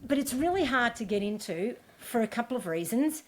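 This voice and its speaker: A woman's voice: fairly high pitch, expressive, fairly quick, a crowd in the background. Chatty woman coach from Scotland. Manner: chatty, many fillers and restarts. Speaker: endurance coach, podcast guest. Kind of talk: casual interview chat.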